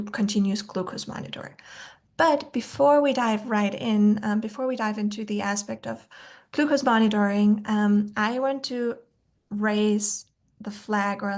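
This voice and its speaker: A woman's voice: mid-range voice, darkish tone. Austrian woman with frequent "um" fillers. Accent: Austrian German. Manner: hesitant, many "um" and "like" fillers. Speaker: head of nutrition presenting a webinar. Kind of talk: informal online presentation.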